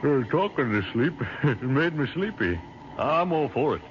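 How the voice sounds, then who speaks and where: An actor playing a plainspoken, weary American soldier with an American accent and folksy, thoughtful talk, in old-time radio drama style. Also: male voice, on the high side, sing-song, moderate pace. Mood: tired. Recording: noisy, muffled old radio recording.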